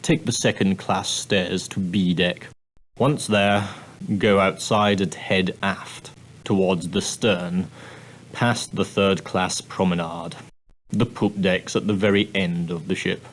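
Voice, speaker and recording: A prim and proper male steward of an ocean liner, speaks in a fairly low voice. He is formal and clipped, with a dry, condescending edge beneath the courtesy. It is scripted character dialogue for an adventure game. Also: steady tone, slow, rough recording. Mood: dry, exasperated. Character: prim, straight-laced male ship's steward, dryly sardonic.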